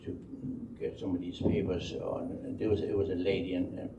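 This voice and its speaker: A distant, echoey recording of an older man with a low, mellow voice and a Danish accent. He recalls his past in a halting, rambling way, often doubling back on words. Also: level tone, creaky. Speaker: speaker telling life story. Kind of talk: unscripted oral history storytelling. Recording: dull, roomy, distant microphone, faint noise.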